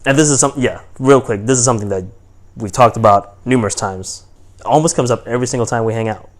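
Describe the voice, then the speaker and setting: A young man speaks at a middling pitch as a podcast co-host. He teases his friend in short, dry replies, and his tone stays easygoing. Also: fast talker. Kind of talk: casual chat.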